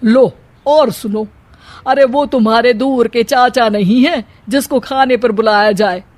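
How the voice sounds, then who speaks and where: A woman's voice: on the high side, measured pace, a little mellow, lightly acted. A woman voicing a fretful, sarcastic older village mother-in-law in a cartoon story, grumbling and exclamatory. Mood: fretful, scolding. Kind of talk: cartoon moral-story acting.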